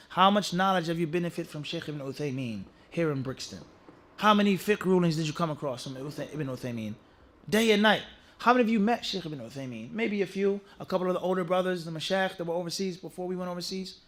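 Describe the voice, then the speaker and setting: High-pitched man preaching emphatically. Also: fairly bright tone. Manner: emphatic, rhetorical questions and repeated phrases. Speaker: Islamic preacher giving a lecture. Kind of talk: religious lecture to a congregation, teaching point by point. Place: mosque, speaking to a live audience.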